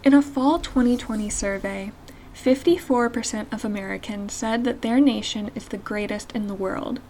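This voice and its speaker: Mellow higher-voiced woman reading aloud. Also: moderate pace, a little hiss or hum, poor audio. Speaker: reader at a table reading.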